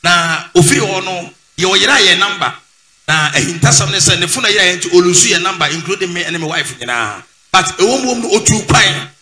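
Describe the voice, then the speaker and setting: A man's voice: high voice, lively intonation, brisk, sharp tone, reverberant. A man hosting a show, commenting on a church video in Twi and English, calling out to viewers. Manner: addresses viewers as guys, hums along in agreement. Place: small indoor studio. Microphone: studio mic with headphones.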